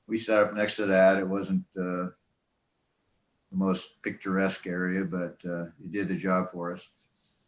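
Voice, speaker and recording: Deep-voiced older American man, echoey room. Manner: rambling, halting, conversational. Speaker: speaker presenting to a radio club. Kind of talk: informal slideshow talk, reminiscing. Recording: echoey, roomy sound.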